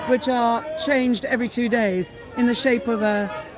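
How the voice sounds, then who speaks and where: A woman's voice: on the high side, fairly even intonation, normal speed, low-quality recording. A woman actor giving a premiere interview with wry, dry humor. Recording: loud crowd chatter behind.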